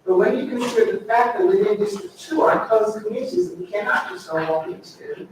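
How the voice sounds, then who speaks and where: A woman's voice: a little high, expressive, brisk. An older woman reads her prepared statement earnestly at a public hearing. She sounds far from the microphone in a strongly echoing meeting room, with faint background noise. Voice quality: soft-voiced. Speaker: school board representative addressing a legislative delegation.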